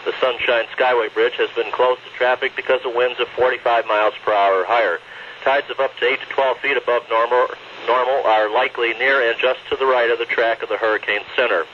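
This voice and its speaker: A man's voice: mid-range voice, fairly even intonation, brisk. A man reading an official weather bulletin as a radio announcer, in a formal, matter-of-fact manner. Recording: dull noisy radio broadcast recording.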